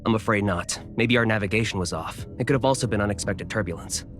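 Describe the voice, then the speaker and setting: A man plays a subordinate fleet officer with a lowish voice. He talks quickly and deferentially, in the dramatic style of a sci-fi game. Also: fairly bright tone, faint music behind, close to an everyday voice.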